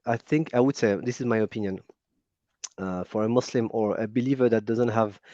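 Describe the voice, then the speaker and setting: A man's voice: lowish voice, fairly even intonation, fairly quick, dark tone, no echo. A man with a French accent, calling in to a stream and talking casually, with many fillers and restarts. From France. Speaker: caller. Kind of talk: casual call-in conversation. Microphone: video call. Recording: muffled lo-fi call audio.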